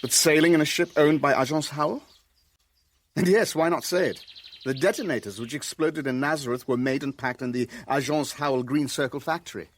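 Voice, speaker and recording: A man's voice: fairly high pitch, a little fast, a little hiss or hum, natural voice. A man acting in a radio drama as a sardonic, defensive businessman and shipowner who is under attack. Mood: insistent.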